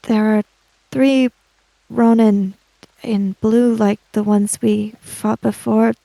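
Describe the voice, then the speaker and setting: A woman playing an earnest, worried female samurai in a tabletop game, speaking in halting, formal phrases. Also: on the high side, steady tone, creaky, mellow, no echo, poor audio. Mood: shaken.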